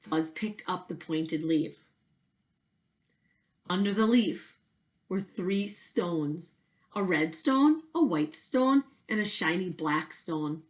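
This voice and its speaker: A woman narrates a children's story like a teacher at read-aloud time. Her pace is slow and deliberate, her pitch slightly low but very lively, with emphatic phrasing.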